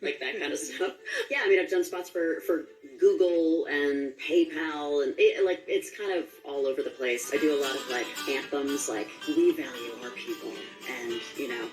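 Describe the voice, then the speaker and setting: A woman's voice: sits low, moderate pace, faint music behind, poor audio. A woman voice actor talking casually in an interview.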